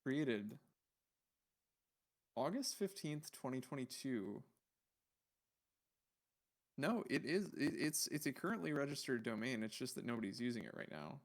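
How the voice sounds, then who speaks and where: Man, medium pitch, livestream host, casual chat, rambling, puzzled musing, thinking out loud. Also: animated, unhurried, pauses often.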